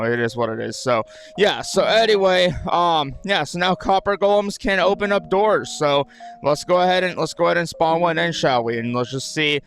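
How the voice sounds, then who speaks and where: A man's voice: medium pitch, fairly bright tone. A man with a dry, just-woken throat gives casual game commentary, rambling and repeating his phrases. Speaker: gaming video host.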